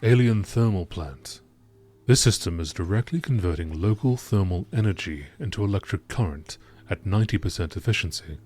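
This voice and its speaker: Low-voiced man narrating documentary style. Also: expressive, moderate pace, a little mellow, slight background noise. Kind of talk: scripted nature documentary-style reading of game lore.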